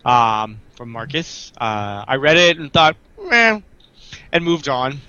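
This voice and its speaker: A man with a Canadian accent telling gaming stories casually and haltingly over online voice chat, with an amused manner. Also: medium pitch, sharp tone, clean tone, dry recording, muffled recording. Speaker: guild member and raid officer on a group show.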